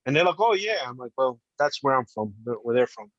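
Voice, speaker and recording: A man's voice: medium pitch, big pitch swings, brisk, fairly bright tone, no room sound. Earnest man in his fifties, dull dry audio. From Colombia, raised in New Jersey. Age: 50s. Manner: earnest, heartfelt, patriotic. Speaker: podcast guest. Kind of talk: casual interview storytelling.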